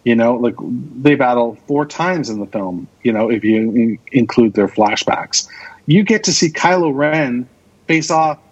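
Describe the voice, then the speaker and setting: A man's voice: mid-range voice, lively intonation, unhurried, husky, muffled recording. A man chatting casually and with opinions as a podcast guest, speaking with enthusiasm and emphatic repetition. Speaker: podcast guest, radio drama producer.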